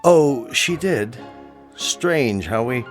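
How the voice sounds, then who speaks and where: An actor playing an urbane, middle-aged bachelor playwright with a suave, formal way of speaking, in a radio drama. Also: male voice, mid-range voice, expressive, drawn-out words. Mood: nostalgic. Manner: suave, dry, formal phrasing. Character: urbane, aloof bachelor playwright, secretly romantic. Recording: studio radio drama with music underneath.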